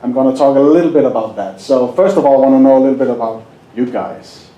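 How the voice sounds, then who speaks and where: Danish-accented male seminar speaker, jokey and chatty, mellow tone, roomy echo. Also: medium pitch, fast. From Denmark. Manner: jokey, asks the audience questions. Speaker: hypnosis presenter. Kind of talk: live seminar talk.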